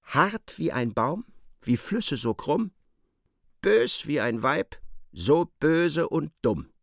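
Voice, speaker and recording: A man's voice: fairly high pitch, big pitch swings, slow, creaky, no echo, clean studio sound, full character voice. An actor in a radio play playing a stern man reciting scornful proverbs in a declamatory way. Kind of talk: radio drama acting, recited verse and narration.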